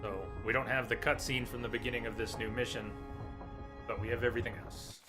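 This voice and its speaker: A hoarse man with a sore, scratchy throat, chatting casually through a live game stream and clearing his throat often. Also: mid-range voice, flat delivery, brisk, over music. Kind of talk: casual live gameplay commentary.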